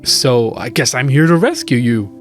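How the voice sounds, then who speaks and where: A man playing an earnest, friendly young prince in an animated fairy tale, polite and gently reassuring. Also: mid-range voice, moderate pace, faint music behind, close to an everyday voice.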